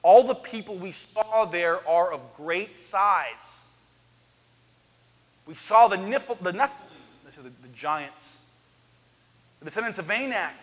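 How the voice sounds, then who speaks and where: A man in his thirties preaching an animated sermon on a church stage, swinging from loud to hushed. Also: high-pitched, a little slow, pauses often. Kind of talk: lively sermon. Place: church sanctuary, large, indoors. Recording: echoey church hall.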